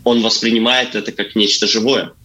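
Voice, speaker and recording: A man, an actor appearing as a studio guest, answering earnestly and haltingly as he searches for words and repeats phrases. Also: fairly low pitch, steady tone, sharp tone. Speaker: actor as talk show guest. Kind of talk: earnest conversational interview answers. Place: radio studio.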